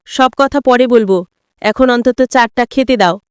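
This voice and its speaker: A teasing, easily irritated young husband in an audio story, voiced by a woman narrator. The delivery is smooth and a little high, full of challenging questions. It sounds close and dry. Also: unhurried, no room sound, lightly acted. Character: teasing, touchy young husband back from working abroad. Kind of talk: acted dialogue in an audio story.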